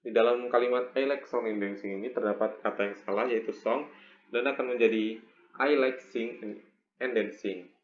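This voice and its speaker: A man's voice: fairly low pitch, steady tone. Young Indonesian man, echoey room recording. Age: young adult. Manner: halting, often repeating words. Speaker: English education student presenting a teaching video. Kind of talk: instructional presentation. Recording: echoey room, muffled, faint noise.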